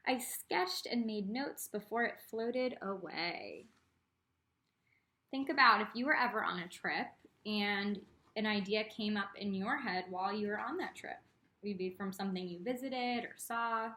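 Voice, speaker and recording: A woman reading a picture book aloud to children as an art teacher, expressive, with teaching asides spoken directly to the young listeners. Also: mid-range voice, a little slow.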